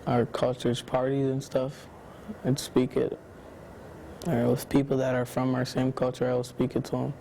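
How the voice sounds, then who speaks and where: Monotone, laid-back teenage boy. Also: medium pitch, gravelly, a little hiss or hum. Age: teens. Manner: laid-back, halting, lots of "like". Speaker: student interviewee. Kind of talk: documentary interview answers.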